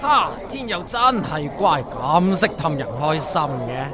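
A man's voice: on the high side, very animated, measured pace. Chatty older Cantonese uncle amid crowd din man acting. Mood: jovial, chiding. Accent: Hong Kong Cantonese. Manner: familiar, bantering, tutting. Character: chatty, generous older family friend, genial uncle type. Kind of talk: Cantonese audio drama acting. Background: busy crowd sounds.

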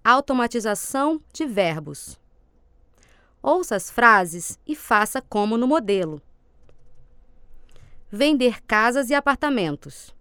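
Calm woman reading course prompts. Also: fairly high pitch, slow pace, pauses often, clear, resonant tone, no echo, clean studio sound. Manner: calm, precise cue reading. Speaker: cue reader in a language course. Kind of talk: scripted language drill prompts.

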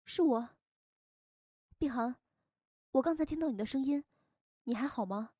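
A woman voice actor playing a caring, bossy young friend with a sharp tongue in an audio drama. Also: high-pitched, normal speed, husky, no room sound. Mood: worried, caring. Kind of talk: Chinese audio drama acting. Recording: prominent acoustic guitar music behind.

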